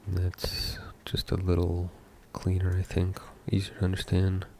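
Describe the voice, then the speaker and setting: A young man from Seattle, a game developer talking casually while coding live, thinking aloud with many pauses. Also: low voice, completely flat delivery, darkish tone, a little hiss or hum. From Seattle, USA.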